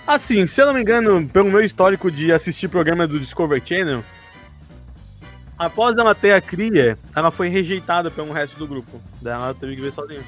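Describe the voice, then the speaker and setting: A man's voice: a little high, animated, a little fast, faint music behind. A man with a Brazilian accent chatting casually and jokingly as a podcast co-host, often laughing. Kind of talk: casual chat looking back on a role-playing campaign.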